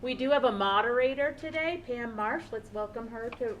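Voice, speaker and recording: A woman's voice: on the high side, moderate pace, noisy background. Woman chairing a public meeting. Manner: friendly, procedural, with fillers.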